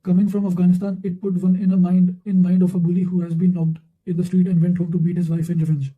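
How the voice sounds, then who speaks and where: A man's voice: sits high, monotone, fast, phone-quality sound. A man reading historical quotations aloud from a script in a formal, bookish manner, occasionally stumbling.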